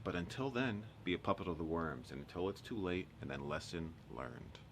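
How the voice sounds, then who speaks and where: A man with a highly animated, swooping delivery performs satirical rhyming poetry. He is sardonic and theatrical, leaning hard on the cadence and leaving dramatic pauses. His voice sits a little low, with some background noise around it. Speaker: monologue poet. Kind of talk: rhyming spoken-word poetry monologue, satirical.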